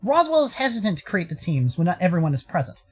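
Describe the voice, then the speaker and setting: A man's voice: high voice, very animated, fast, slightly rough, faint music behind, heavily acted. An American man voicing a curious, skeptical young furry protagonist who narrates and talks in a visual novel, with a dry questioning manner. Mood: thoughtful.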